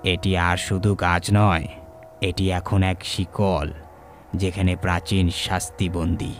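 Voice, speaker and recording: Man acting a deep, solemn village priest. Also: little pitch movement, soft background music. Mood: grave warning. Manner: slow, solemn, halting phrases. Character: grave village priest warning of a curse.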